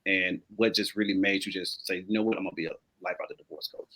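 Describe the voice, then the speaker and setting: A man's voice: deep voice, fairly even intonation, quick pace, a bit bright. A man hosting a talk show and working as a life coach, chatty and affirming as he interviews a guest. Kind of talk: casual interview.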